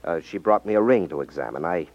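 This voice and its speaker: An actor playing a confident, cool-headed detective in 1950s radio style, firm and wry, on a muffled old recording. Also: male voice, low voice, expressive, fast, darkish tone. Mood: composed. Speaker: radio drama actor, lead.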